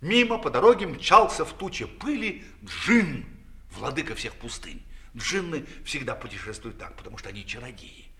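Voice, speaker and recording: Guy reading a kids fairy tale, super expressive, bright voice, swoops up and down. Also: mid-range voice, normal speed. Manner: expressive, dramatic delivery. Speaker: storyteller. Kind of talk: theatrical children's fairy tale narration.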